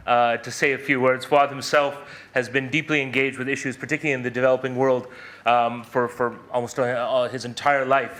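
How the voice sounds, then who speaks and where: A man's voice: medium pitch, sharp tone. A man hosting an event, giving a courteous, effusive introduction to the next speaker, with frequent hesitations. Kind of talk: formal introduction. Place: event hall.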